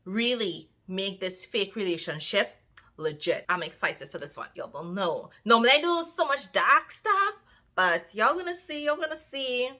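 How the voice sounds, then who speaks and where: Young trinidadian woman, bright forceful tone, chatty, addressing viewers, casual book vlog. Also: mid-range voice, normal speed. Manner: lively, chatty, talks straight to viewers. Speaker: book vlogger. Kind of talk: casual chat to camera.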